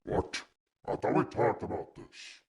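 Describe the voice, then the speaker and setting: A man voicing a menacing, vengeful alien mastermind with a gravelly growl, delivering theatrical, taunting villain monologues. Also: unhurried, darkish tone, close to an everyday voice. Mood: indignant, questioning. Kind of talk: fan character voice clips, dramatic monologue.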